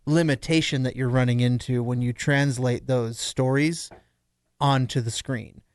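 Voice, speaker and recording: A man co-hosting a casual podcast discussion, talking in a measured, explanatory way. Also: medium pitch, steady tone, dry recording. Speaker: podcast co-host.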